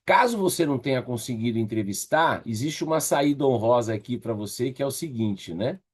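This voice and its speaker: A Brazilian man with a slightly husky voice teaching an online class in a conversational, encouraging way, often checking in with his listeners. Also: medium pitch, animated, a little fast. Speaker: course instructor leading an online workshop. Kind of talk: casual interactive online teaching.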